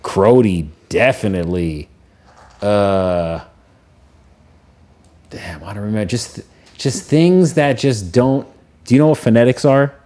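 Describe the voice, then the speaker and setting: A young man with a fairly low voice, chatting loosely about his work. He rambles, circles back and leans on filler words. His tone stays easygoing and unhurried. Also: warm tone. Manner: laid-back, rambling, heavy use of "like". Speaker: music producer and engineer. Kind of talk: casual podcast chat.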